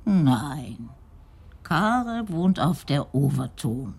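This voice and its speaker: A frail old woman in a radio crime play. Her low voice is very husky and breathy, rising and falling widely as she rambles in halting, simple sentences, lonely and frightened. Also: sing-song, a little mellow, heavily acted. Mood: confiding. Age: older. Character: frightened, frail, lonely old Dutch widow, chattering about neighbours. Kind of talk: radio crime drama acting.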